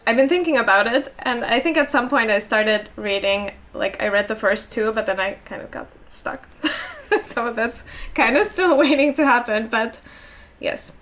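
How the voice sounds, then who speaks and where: A woman's voice: fairly high pitch, normal speed, fairly bright tone. A young adult woman, a native Finnish speaker, chatting casually on a podcast, filling her talk with "like" and little laughs. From Finland. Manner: chatty, many likes and laughs. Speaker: podcast co-host. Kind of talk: casual chat.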